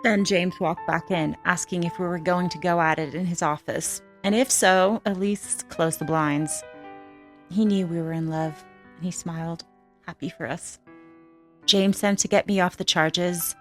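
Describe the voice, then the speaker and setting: A woman's voice: lowish voice, steady tone, unhurried, a bit bright. An actress playing a hard-boiled female ex-trafficker, narrating her own story in a frank, crude, wry way for a film. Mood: confiding, wry. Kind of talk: first-person film narration. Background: music.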